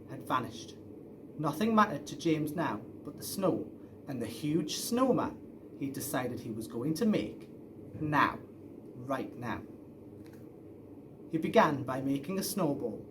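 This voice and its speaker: A man's voice: a little high, background noise. A man reading a children's story aloud expressively, as a storyteller. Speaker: story reader.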